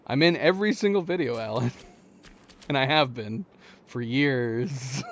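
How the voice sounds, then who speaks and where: A man's voice: mid-range voice, slow pace, dull audio, slight background noise. A man with an American accent giving casual live commentary while playing a video game, chatting with viewers and laughing often. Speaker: gaming streamer. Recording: game music underneath.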